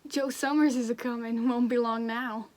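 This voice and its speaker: Woman as a high-voiced folksy villager. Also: steady tone, normal speed, darkish tone, natural voice. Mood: expectant. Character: plain-spoken, folksy small-town villager. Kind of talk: amateur stage-play acting over video call. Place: home, on a video call. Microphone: video call microphone.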